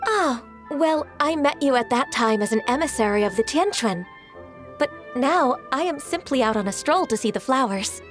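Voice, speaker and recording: A woman playing a gentle, earnest half-human adeptus in a video game, speaking politely and formally with slight hesitance. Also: sits high, expressive, moderate pace. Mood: gentle, courteous. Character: gentle, earnest, ancient half-qilin female adeptus secretary. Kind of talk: video game cutscene dialogue. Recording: music under the voice. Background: background music.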